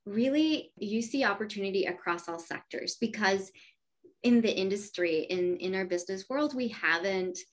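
A woman's voice: mid-range voice, normal speed. A woman whose first language is Spanish, a venture capital partner speaking enthusiastically as an interview guest. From United States. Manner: upbeat, enthusiastic, businesslike. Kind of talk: conversational interview.

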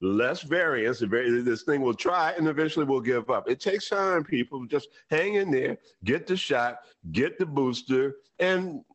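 A man of medium pitch co-hosts a sports radio show, his voice moving widely as he talks. He is easygoing and chuckles between points, and drifts into preachy asides. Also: animated, a little slow, hardly any pauses. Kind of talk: casual podcast banter.

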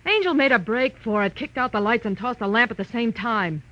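A woman's voice: fairly high pitch, expressive, a little fast, fairly bright tone. A woman playing a sarcastic, tough gangster's girlfriend with snappy, slangy delivery in 1940s radio noir style. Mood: sullen. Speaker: radio drama actress. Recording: muffled old radio broadcast.

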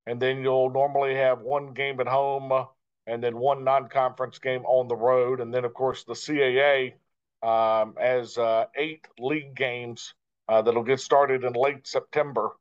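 A man with a mid-range voice, heard through slightly dull, lo-fi audio. He is a broadcaster giving conversational interview answers. His delivery is measured and reflective, broken into short phrases with pauses. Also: medium pitch, level tone. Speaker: guest, radio play-by-play broadcaster. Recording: dull, lo-fi sound.

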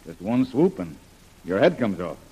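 An actor playing a calm, watchful jungle adventurer, understated, in an old radio serial. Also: male voice, fairly low pitch, moderate pace, breathy edge, full character voice, low-quality recording. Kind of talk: 1940s radio serial acting.

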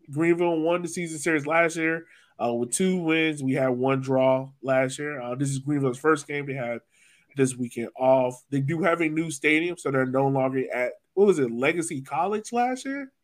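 A man's voice: a little high, moderate pace. A man from Virginia with an American accent, hosting a casual soccer podcast in an informal, slangy way. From Virginia, United States. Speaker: sports podcast host.

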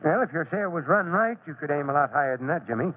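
Actor as a folksy fire chief, tinny old radio. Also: male voice, fairly high pitch, animated, quick pace, slight background noise, lightly acted. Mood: fatherly, encouraging. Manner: avuncular, folksy, with small hesitations. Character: kindly, fatherly fire chief, gruffly friendly. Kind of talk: old-time radio drama acting.

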